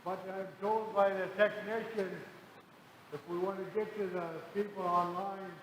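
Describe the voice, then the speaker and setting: A man giving a ceremonial address, speaking slowly and deliberately. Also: high-pitched, flat delivery, a bit bright, in a large hall, poor audio.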